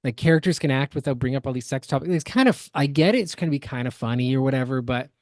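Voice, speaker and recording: A woman's voice: a bass voice, very fast, a little mellow, no echo, clean studio sound. A woman co-hosting a podcast, giving casual reaction commentary in an analytical, critical, explanatory manner. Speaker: podcast co-host.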